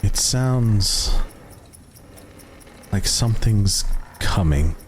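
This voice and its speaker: A man narrating a tabletop game as game master, slowly and suspensefully, with long, deliberate dramatic pauses. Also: on the low side, expressive, slight background noise.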